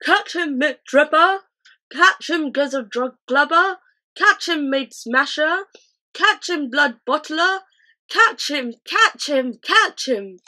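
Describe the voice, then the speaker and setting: A woman voicing a pack of rowdy male giants who shout and jeer. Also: high-pitched, animated, slow, voice pushed up, full character voice. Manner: shouting, jeering.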